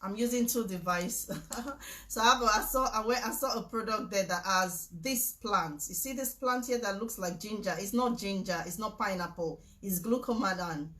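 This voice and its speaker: A woman, talking casually to the camera, mixing a sales pitch with preaching, emphatic and repetitive, with frequent laughter. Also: moderate pace, a bit bright. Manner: chatty, emphatic, repeats herself, laughs often. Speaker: vlogger promoting supplements. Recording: echoey room.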